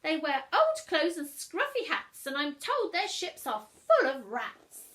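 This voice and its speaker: Storybook busybody lady, voiced by a woman: high, swooping, fussy, scandalised and disapproving. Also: huge pitch swings, unhurried, a bit bright, heavily acted. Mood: disgusted, scandalised. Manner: fussy, indignant. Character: snobbish, disapproving female neighbour, scandalised gossip.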